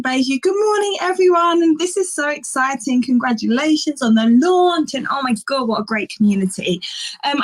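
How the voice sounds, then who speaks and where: Upbeat woman with a croaky voice on a video call, walks through tips step by step, highish pitch. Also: no echo, rough recording. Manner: upbeat, chatty, explains step by step. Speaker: guest speaker. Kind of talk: giving social media tips on a call.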